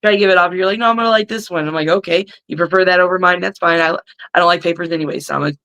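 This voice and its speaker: A middle-aged woman with a raspy voice, a wrestler guest chatting bluntly and crudely on a video call from her living room. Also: fairly low pitch, very fast, sharp tone. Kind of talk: casual podcast chat.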